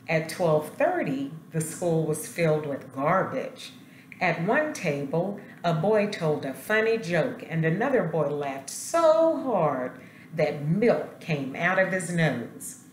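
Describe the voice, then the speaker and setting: A woman principal reading a storybook aloud to young children, expressive and speaking to them directly. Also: sits low. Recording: roomy echo, light background noise.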